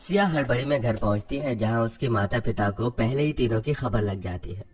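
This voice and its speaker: Casting: male story narrator, mid-pitched, scripted delivery over faint music. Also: fairly quick.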